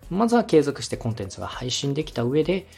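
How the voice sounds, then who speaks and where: A man in his thirties speaks as a podcast host, fast and very animated in pitch. His voice is slightly husky, and he gives advice politely and with encouragement over faint music. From Osaka, Japan. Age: 30s. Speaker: podcast host and radio director.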